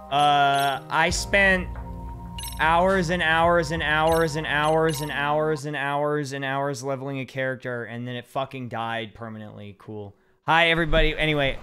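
Hoarse man streaming a video game. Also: mid-range voice, steady tone, moderate pace, pushed and bright, soft background music. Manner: reads game subtitles aloud, swears casually. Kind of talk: casual gameplay commentary. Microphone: headset or desk mic, webcam.

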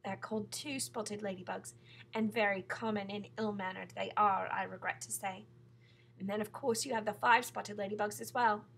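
A woman's voice: medium pitch, steady tone, moderate pace, creaky, fairly bright tone, slightly rough. A woman voicing a proud, genteel female ladybug for a children's storybook, speaking precisely and formally with a slightly snobbish manner. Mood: prim, confiding. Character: proud, prim, genteel female ladybug, modest yet self-satisfied. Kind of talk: children's storybook character reading.

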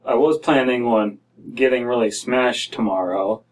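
A man's voice: mid-range voice, unhurried. Young American male co-host, mid-range voice, casual comic commentator, slightly distant mic. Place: small dim room indoors.

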